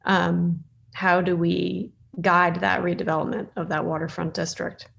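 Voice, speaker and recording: A woman chairing a meeting on a video call, speaking informally with frequent pauses mid-sentence. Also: lowish voice, level tone, creaky, a bit bright, dull audio, slight background noise. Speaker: planning board chair. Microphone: video call microphone.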